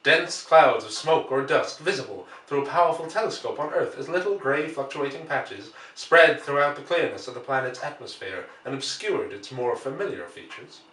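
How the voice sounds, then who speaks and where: A man reading literary fiction aloud as an audiobook narrator, measured and expressive, with deliberate dramatic pauses. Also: medium pitch. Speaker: audiobook reader. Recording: echoey room, distant microphone.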